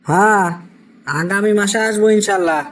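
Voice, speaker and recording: Man acting high-voiced dutiful Bangladeshi migrant worker. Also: sing-song, normal speed, gravelly, a bit bright, heavily acted. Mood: polite, obliging. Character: weary, dutiful young man working abroad. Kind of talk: cartoon dialogue.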